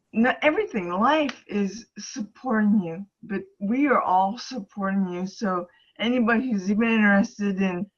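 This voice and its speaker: A woman speaks slowly and earnestly on a group call, stumbling and restarting as she offers encouragement. Her voice comes through slightly dull and lo-fi. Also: mid-range voice. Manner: halting, earnest, restarts often. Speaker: ministry facilitator.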